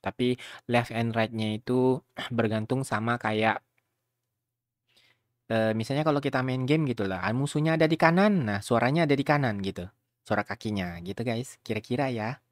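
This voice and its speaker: A man's voice: mid-range voice, normal speed, no room sound. Casual man, dry close sound. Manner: informal, rambling, chatty, addressing viewers. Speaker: video tutorial host. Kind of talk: casual software tutorial.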